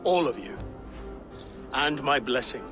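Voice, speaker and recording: An actor playing a grateful, weary middle-aged king and father in a game cutscene, measured, solemn and formal. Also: medium pitch, over music, lightly acted. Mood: solemn, resolute. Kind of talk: video game cutscene acting.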